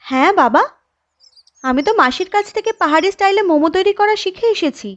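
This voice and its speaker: A woman's voice: extremely high pitch, animated, quick pace. A woman voicing an earnest young village woman who runs a food stall. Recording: dull lo-fi with music bed.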